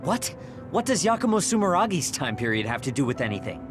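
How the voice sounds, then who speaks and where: A prickly, awkward young soldier in a video game. His voice is fairly high and animated, always a bit defensive. Music plays underneath. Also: fast. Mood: baffled.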